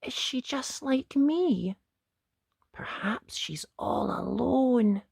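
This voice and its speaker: A woman voicing a little boy knight, high and childlike. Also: animated, very slow, creaky, darkish tone, slightly rough, dry recording, clean studio sound, heavily acted. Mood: wondering. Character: little boy knight, polite turned defiant.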